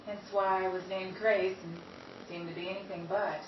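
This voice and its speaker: A woman playing a guarded, self-mocking secretary who speaks in a hushed, coy way, in a 1930s-style radio drama. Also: mid-range voice, level tone, normal speed, natural voice. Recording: roomy, distant, dull and noisy.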